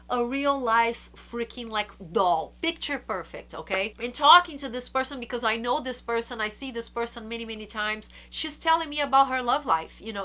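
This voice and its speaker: Middle-aged woman vlogger, stuffy nose from a flu, bright tone, earnest and chatty. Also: a little high. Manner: earnest, chatty, slows to stress words. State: congested from a flu. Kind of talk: casual advice to camera.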